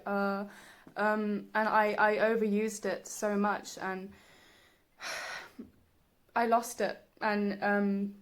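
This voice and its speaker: A young woman with a soft, breathy voice, talking reflectively and haltingly in a personal vlog. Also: mid-range voice. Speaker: singer, video creator.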